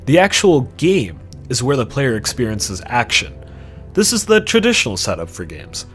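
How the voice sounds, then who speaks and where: A man's voice: mid-range voice, big pitch swings, normal speed, fairly bright tone, faint music behind. A man narrating a scripted video essay about games in an emphatic, conversational way with sarcastic asides.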